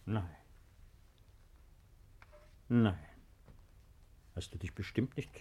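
A composed husband in an old radio drama. His voice is on the low side, measured and dry, brushing fears aside with matter-of-fact reassurance. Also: mellow, breathy edge, heavily acted. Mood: dry, calm. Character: calm, dismissive husband reassuring a frightened woman. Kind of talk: 1950s German radio play acting. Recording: 1950s radio drama recording.

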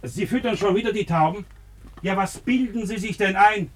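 An actor playing an officious chief dissector in a radio play, fawning to superiors and imperious to underlings. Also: male voice, sits high, normal speed, a little hiss or hum, lightly acted. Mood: scolding. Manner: fawning, officious, snapping at inferiors. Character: obsequious chief dissector, tyrant to his underlings. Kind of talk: German radio play acting.

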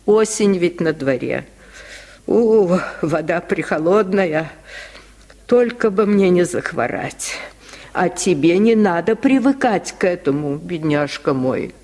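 Man voicing a weary, tender washerwoman, slow speech. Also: sits high, lively intonation, a little mellow, exaggerated voice. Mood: tender, chilled. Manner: plaintive, affectionate, tired. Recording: 1950s radio recording, long reverb.

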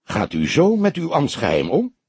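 A man voicing a woman civil servant under questioning in an audiobook, soft, careful and halting, defending herself. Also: on the high side, huge pitch swings, breathy edge, no room sound, pitched above the performer's own voice, heavily acted. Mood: indignant. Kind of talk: audiobook character dialogue.

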